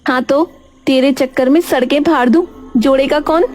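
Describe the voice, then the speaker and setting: A woman's voice: sits high, fairly even intonation, normal speed, darkish tone, lightly acted. A woman voicing a weary, sarcastic elder sister who grumbles in a colloquial way in a cartoon story. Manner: sardonic, complaining, colloquial.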